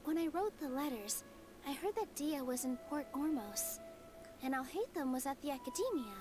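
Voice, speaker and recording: A sweet young dancer from an anime game. Her voice is high, gentle and earnest, with music playing clearly behind it. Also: expressive, normal speed. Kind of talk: anime video game voice acting.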